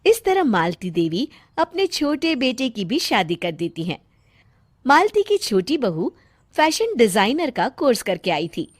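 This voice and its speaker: A woman's voice: on the high side, very animated, measured pace. A woman narrator telling a scripted moral story in Hindi.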